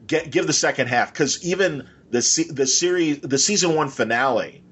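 Husky, effusive man in casual chat. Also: fairly high pitch, moderate pace, a bit bright. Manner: effusive, rambling, informal. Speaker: podcast host.

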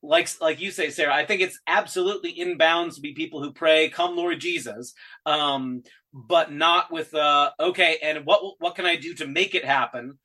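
A man in a casual podcast talk about faith, thinking out loud in a rambling way and leaning on everyday examples. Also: on the high side, moderate pace. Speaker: co-host. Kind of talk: casual theological discussion.

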